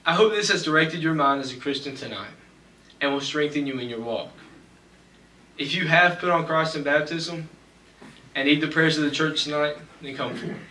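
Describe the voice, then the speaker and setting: A young man preaching a sermon from a church pulpit, reading scripture slowly and deliberately. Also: a little high, bright, forceful tone, a little hiss or hum. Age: young adult. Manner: measured, slows for scripture reading. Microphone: pulpit mic, far camera. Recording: echoey church from far off.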